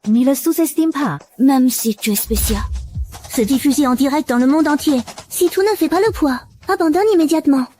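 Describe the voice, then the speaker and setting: Actress as intense cartoon woman, high voice, French action dub, announcer and ally, faint music behind. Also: moderate pace, mellow, lightly acted. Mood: worried.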